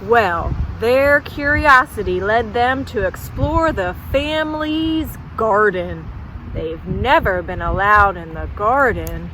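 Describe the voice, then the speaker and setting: A woman telling a folktale at a children's storytime, pausing to ask young listeners questions. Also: mid-range voice, lively intonation, bright, forceful tone, slight background noise, poor audio.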